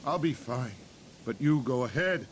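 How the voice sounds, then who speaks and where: A man's voice: mid-range voice, lively intonation, a little slow, slight background noise, lightly acted. A man playing a stoic, terse, plain-spoken trail guide in a western video game. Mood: pained, stoic. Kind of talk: video game character acting. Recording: game audio with loud music behind. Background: music.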